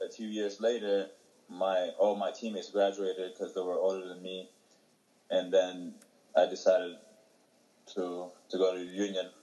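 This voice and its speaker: Low, flat-voiced young man. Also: low-quality recording. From Ethiopia. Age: young adult. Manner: halting, many fillers and restarts. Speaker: interviewee, young soccer player. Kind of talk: casual interview answers.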